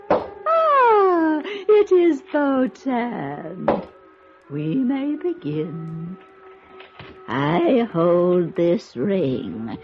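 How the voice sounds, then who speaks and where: An actress playing a grandiose middle-aged spirit medium, intoning in a drawn-out trance manner in an old radio drama. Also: female voice, medium pitch. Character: theatrical middle-aged woman spirit medium holding a séance. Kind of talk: 1950s radio drama acting. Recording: dull vintage radio recording, faint music.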